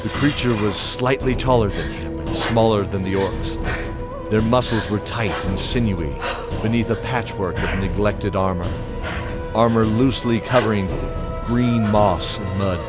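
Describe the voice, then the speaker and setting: A man giving dramatic scripted narration of a fantasy tale, measured, pausing between short phrases. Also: lowish voice. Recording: loud music under the voice.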